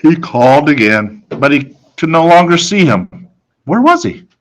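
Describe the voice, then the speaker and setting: A man reading a children's novel aloud expressively, with dramatic, deliberate phrasing. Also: a little high, very animated, low-quality recording. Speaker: story reader, teacher.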